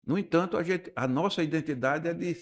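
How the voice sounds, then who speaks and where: An older man from Recife with a Pernambuco accent, a guest architect and urban planner, explaining at length in a radio interview with frequent pauses and restarts. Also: on the high side. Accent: Pernambuco Portuguese. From Recife, Brazil. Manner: measured, explanatory, hesitant with restarts.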